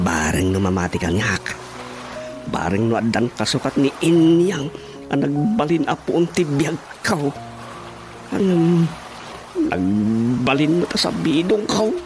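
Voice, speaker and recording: A man's voice: a little high, animated, slow. A man with an Ilocano accent playing a giggly, lovestruck, simple-hearted farmhand nephew in a radio comedy drama. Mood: dreamy muttering. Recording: clear music behind the voice.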